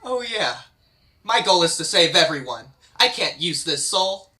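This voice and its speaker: Troubled, stubborn lost soul in a fantasy drama read by a man, bright forceful tone. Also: high voice, sing-song, measured pace, heavily acted. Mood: resolute. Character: troubled, stubborn amnesiac man stuck in purgatory. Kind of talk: dramatic script reading.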